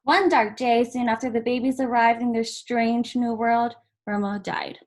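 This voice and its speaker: A woman with a fairly high voice reads a picture book aloud for children. She works through the story in measured, short phrases, leaving small pauses between them. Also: fairly even intonation. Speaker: volunteer story reader.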